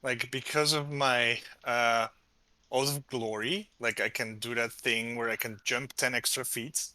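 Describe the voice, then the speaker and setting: Very bright-voiced man explaining. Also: mid-range voice, steady tone, measured pace, no echo. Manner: explains with many likes and fillers. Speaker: player. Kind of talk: casual tabletop game chat.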